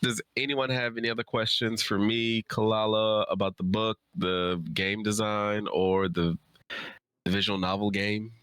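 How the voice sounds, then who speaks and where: Low, bright-voiced man in casual chat. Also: little pitch movement, measured pace, smooth, no room sound. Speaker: co-host of a live Q&A.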